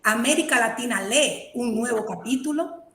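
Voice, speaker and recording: A woman moderating an event, giving a formal introduction in a measured, ceremonious way. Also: a little high, bright, forceful tone. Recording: echoey room with faint noise.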